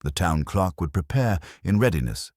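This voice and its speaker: A man reads a story in an extremely low voice with lively rises and falls. His delivery is measured and formal, with a dry wit, and the sound is close and dry. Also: no room sound. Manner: measured, formal, dryly wry. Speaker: narrator. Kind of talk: scripted story narration, audiobook-like.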